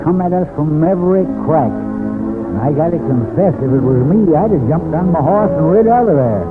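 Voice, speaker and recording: An actor playing a loyal, wary old cowboy sidekick in a radio western, with a rural Western American accent and a folksy, ungrammatical drawl. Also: male voice, on the high side, animated, moderate pace, lightly acted. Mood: folksy storytelling. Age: older. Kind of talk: 1940s-50s radio western acting. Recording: lo-fi old radio recording with music.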